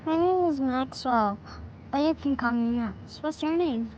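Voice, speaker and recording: A woman's voice: high-pitched, lively intonation, measured pace, a little mellow, dull audio, slight background noise. A woman playing a curious, cheeky six-year-old boy in an audio drama, with blunt, earnest, childlike questioning.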